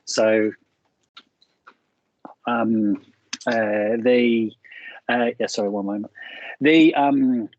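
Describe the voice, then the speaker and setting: A man's voice: mid-range voice, fairly even intonation, drawn-out words, pauses often, a little mellow, no echo, dull audio. A middle-aged man with an Australian accent, giving a frank, cynical seminar talk over a video call with many fillers and restarts. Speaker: guest speaker.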